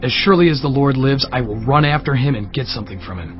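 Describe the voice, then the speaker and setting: A man's voice: mid-range voice, fast talker, close to an everyday voice. An actor playing a sly, greedy servant man in a dramatized scripture audiobook. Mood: scheming. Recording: dull lo-fi sound, faint music bed.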